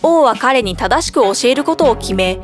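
A woman narrates a bedtime fairy tale with expressive storytelling. Her voice is high and lively, rising and falling freely. Clear music plays behind her. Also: moderate pace. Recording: background music.

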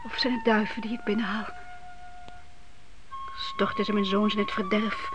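A woman's voice: mid-range voice, fairly even intonation, normal speed, muffled recording, music in the background, natural voice. An actress playing a devout, stubborn widowed mother in a radio play, speaking in a solemn, imploring, emphatic way. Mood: anguished prayer. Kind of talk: radio drama acting.

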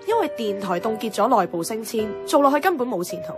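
A woman's voice: on the high side, sing-song, quick pace, music in the background. An actress playing an outspoken, exasperated young career woman in a Cantonese radio drama, blunt and scolding, with a Hong Kong accent. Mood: sceptical, reflective. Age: young adult.

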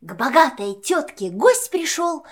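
A woman's voice: high voice, very animated, measured pace. A woman narrating a children's verse tale in a storybook style.

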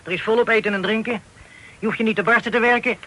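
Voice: medium pitch, fairly even intonation, fast, fairly bright tone, natural voice, rough recording. An actor playing a cheeky, carefree boy who has turned pirate in a radio play, talking in a breezy, colloquial way. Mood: carefree, matter-of-fact.

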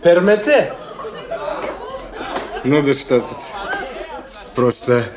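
A tipsy, insolent society gentleman in a radio drama. He drags his words very slowly and brashly, in a middling male pitch. A crowd murmurs around him. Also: lively intonation. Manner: brash, slow drawling challenge. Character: drunken, insolent gentleman at a ball. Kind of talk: radio play acting.

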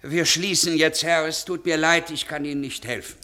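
Officious pharmacist for 1950s radio play: forceful, sharp-edged, emphatic, immovable rule follower. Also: male voice, a little high, fairly even intonation, normal speed. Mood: stiff, officious. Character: rigid, conscientious male pharmacist, stubborn stickler for rules. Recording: vintage 1950s radio recording.

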